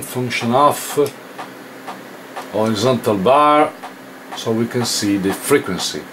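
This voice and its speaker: A man who is not a native English speaker gives an informal hands-on technical tutorial, haltingly thinking aloud as he works. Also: fairly low pitch, noisy background. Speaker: electronics demonstrator.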